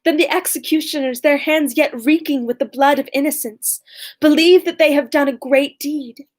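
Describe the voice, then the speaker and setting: A woman reading aloud as an anguished, indignant young gentlewoman, in an impassioned, declamatory way. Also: sits high, moderate pace, natural voice.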